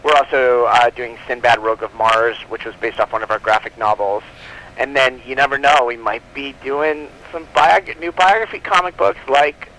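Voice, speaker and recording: A man's voice: mid-range voice, fairly even intonation, normal speed, sharp tone, rough recording. A man chatting casually as an interview guest, explaining his business in an informal, rambling way, often rephrasing mid-sentence. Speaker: guest, comic book publisher.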